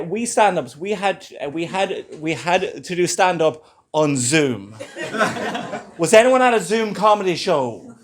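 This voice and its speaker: A man's voice: sits high, a little hiss or hum. A young Irish man with a stammer doing a stand-up comedy set in a club. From Ireland. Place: comedy club before a laughing crowd.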